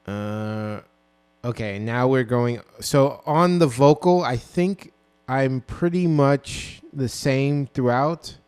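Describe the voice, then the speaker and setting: Young man, low and smooth voice, unhurried rambling producer talk on a dynamic mic in a small home studio. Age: 20s. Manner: relaxed, rambling, full of ums and self-corrections. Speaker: music producer. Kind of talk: casual walkthrough of a song mix. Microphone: dynamic broadcast mic.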